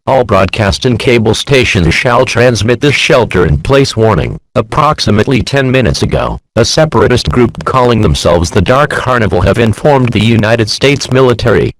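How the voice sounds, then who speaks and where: A man playing a solemn, impersonal emergency broadcast announcer, reading a scripted alert formally and deliberately. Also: medium pitch, natural voice, poor audio.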